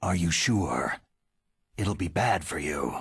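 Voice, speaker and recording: An actor playing a gruff, hardened soldier hero in a video game, terse, intense and defiant. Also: male voice, sits low, lively intonation, slow pace, no echo, full character voice. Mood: grave concern. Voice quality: gravelly, gruff. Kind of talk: dramatic video game cutscene acting.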